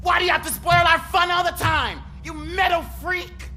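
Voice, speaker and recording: Angry punk thug in a game, super high sharp yelling, taunting. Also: male voice, normal speed, faint music behind, full character voice. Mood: furious, mocking. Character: jeering, hot-headed male street punk. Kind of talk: video game voice acting.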